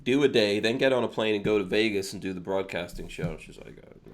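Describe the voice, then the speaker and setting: A man's voice: lowish voice, fairly quick. A man hosting a podcast in casual chat, with a teasing, joking way of talking. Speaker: podcast host.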